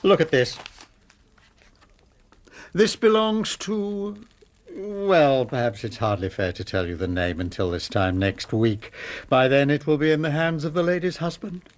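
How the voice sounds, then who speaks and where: An English actor playing a smug, middle-aged master blackmailer in a radio drama, with pompous, mock-polite regret. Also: male voice, on the high side, measured pace, lightly acted. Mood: mock regret, menacing. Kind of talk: British radio drama acting.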